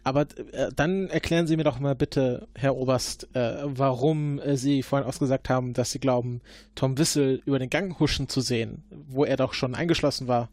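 A man in an improvised role-play, questioning formally and probingly as an investigator, often halting mid-sentence. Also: medium pitch, fairly even intonation, darkish tone, dry recording. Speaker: player acting as investigating agent.

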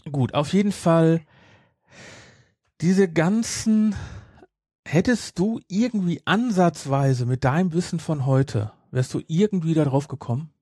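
A man's voice: fairly high pitch, a little slow, warm tone, breathy edge, no echo. Casual male podcast host, dry sound. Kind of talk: casual discussion of an audio drama.

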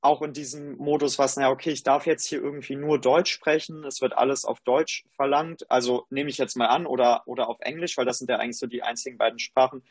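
A man's voice: mid-range voice, steady tone, quick pace, few pauses, husky, no room sound. A man interviewing, asking long, rambling questions full of fillers. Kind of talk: conversational interview.

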